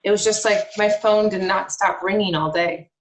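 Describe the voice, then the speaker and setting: Echoey room, actress as alarmed female neighbor, documentary theater testimony, mid pitch, conversational storytelling. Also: steady tone, normal speed, close to an everyday voice. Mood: dazed. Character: alarmed local woman recalling a frightening morning. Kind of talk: dramatic reading of documentary play testimony. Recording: echoey room over video call.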